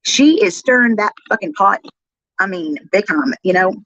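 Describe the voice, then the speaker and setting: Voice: medium pitch, very animated, normal speed, a bit bright, no echo. A livestreamer talks casually in a chatty, sarcastic way, with swearing thrown in. The sound is close and dry, slightly dull and lo-fi. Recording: dull lo-fi replayed livestream audio.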